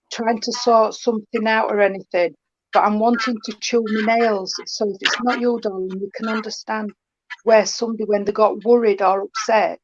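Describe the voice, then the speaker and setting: A woman works as a medium, speaking in a tender, endearing way and sprinkling darling and sweetheart through her reading. Her voice sits at an ordinary middle pitch. It comes through a dull, lo-fi online stream with a faint hiss behind it. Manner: affectionate, calls the sitter darling and sweetheart. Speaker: spiritualist medium.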